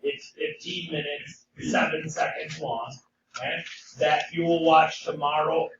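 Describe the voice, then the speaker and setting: A hoarse, middle-aged man teaches a class, often pausing to ask "okay?" His voice comes from across an echoing classroom, picked up by a distant camera. The sound is dull and muffled, with a faint hum underneath. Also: fairly high pitch, fairly even intonation. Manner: frequent "okay?" check-ins with students. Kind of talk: classroom lecture.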